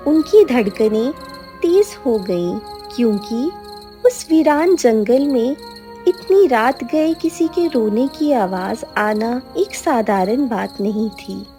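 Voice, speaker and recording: A woman's voice: on the high side, expressive, slow, mellow, faint music behind. A woman narrator reading a scripted dramatic fairy tale as a storyteller.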